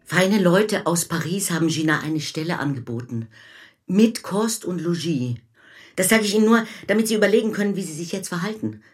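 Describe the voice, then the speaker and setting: A woman's voice: lowish voice, animated, a little fast, close to an everyday voice. A woman acting a hard-nosed, pushy mother in a radio play, businesslike and bossy. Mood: pushy, calculating. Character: brisk, bossy, hard-nosed mother arranging her daughter's affairs.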